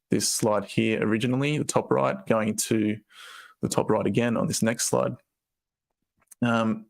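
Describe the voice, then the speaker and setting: Aussie guy walking through an update, croaky and kinda gravelly, lots of ums and restarts. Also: on the low side. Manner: loose, rambling, with fillers and restarts. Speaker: co-founder presenting update. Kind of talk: casual presentation.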